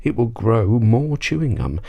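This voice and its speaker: A man's voice: mid-range voice, sing-song, unhurried, gravelly, dull audio, voice pushed up, heavily acted. A man voicing a clever young storybook boy with a coaxing, persuasive manner.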